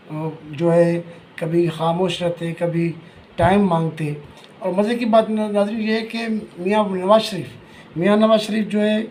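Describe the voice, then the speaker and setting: Male political commentator, Pakistani accent, high-pitched, informal and halting, slightly noisy recording. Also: darkish tone. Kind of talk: informal political analysis addressed to viewers.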